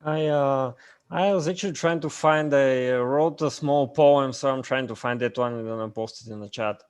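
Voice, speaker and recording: A man's voice: medium pitch, normal speed, darkish tone, smooth. A man from Bulgaria, speaking hesitantly on a video call in an online meeting. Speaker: meeting participant.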